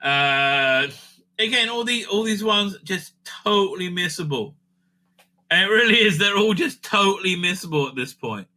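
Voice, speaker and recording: A man's voice: sits high, expressive, normal speed, pushed and bright. A man giving a casual, rambling fan review as host, blunt, opinionated and sweary, often repeating phrases for emphasis.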